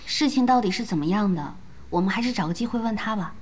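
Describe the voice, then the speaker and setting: An actress playing a calm, perceptive young woman in an audio drama, speaking in a measured, thoughtful way. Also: mid-range voice, a little hiss or hum, natural voice. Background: background music.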